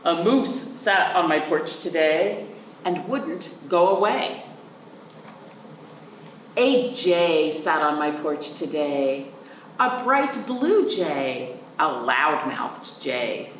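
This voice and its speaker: A woman reading aloud to young children in an expressive, sing-song way, drawing out words and speaking simply and clearly for them. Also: low voice, very slow. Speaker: children's librarian, storytime reader. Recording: roomy echo, distant mic, faint noise.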